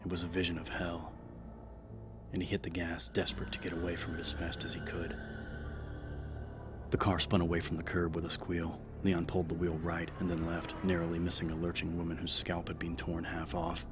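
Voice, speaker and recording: Very deep male horror audiobook narrator. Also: monotone, fairly quick, over music. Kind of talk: dramatic scripted narration of horror fiction.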